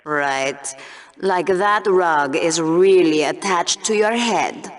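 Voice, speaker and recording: An actress playing a sardonic, gleefully cruel TV co-anchor in a video game, with a mocking, theatrical delivery. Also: female voice, fairly low pitch, slow. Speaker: arena co-commentator.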